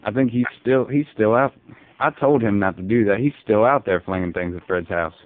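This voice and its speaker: A man playing a folksy, easygoing, bewildered dad, rambling deadpan on a prank phone call. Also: fairly low pitch, brisk, lightly acted. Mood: resigned, musing. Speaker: prank caller. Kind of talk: casual phone prank, improvised. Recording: muffled narrow phone line.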